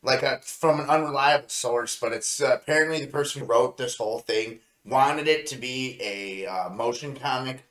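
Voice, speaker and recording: A man co-hosting a review show in casual chat, with a halting, rambling way of telling things. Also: medium pitch, fairly bright tone. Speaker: co-host of an anime review show. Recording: roomy, echoey sound.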